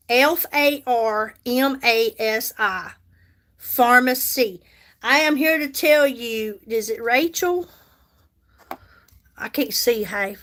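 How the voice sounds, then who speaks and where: Woman, 50s, livestream makeup host; high voice, unhurried, chatty, talks to viewers by name. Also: a bit bright. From United States. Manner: chatty, reads and answers viewer comments, repeats herself for emphasis. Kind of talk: casual livestream chat while doing makeup.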